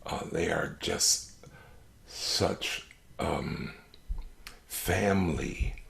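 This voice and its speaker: A man with an extremely deep, gravelly voice talks slowly and reverently. He pauses often between phrases, as if reflecting in an interview. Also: a bit bright. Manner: reverent, halting, deliberate. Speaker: stage actor in an audio play.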